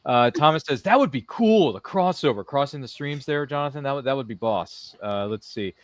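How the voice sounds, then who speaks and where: A man's voice: mid-range voice, lively intonation, brisk, no room sound. A man hosting a casual live interview show, reading viewer comments and throwing in slangy, theatrical, joking asides. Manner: jokey, slangy, theatrical asides to people not present.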